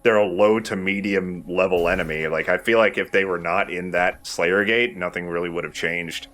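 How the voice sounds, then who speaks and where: A man's voice: deep voice, fairly even intonation, moderate pace, a bit bright. A man giving casual, explanatory gameplay commentary in an informal way, with some swearing. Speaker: let's play host and player. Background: game music.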